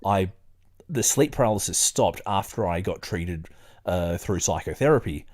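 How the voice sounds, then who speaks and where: Male podcast host, lower voice, casual and halting, reads text aloud between digressions. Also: lively intonation, darkish tone. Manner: hesitant, halting, digressive when talking. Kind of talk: casual chat and reading aloud.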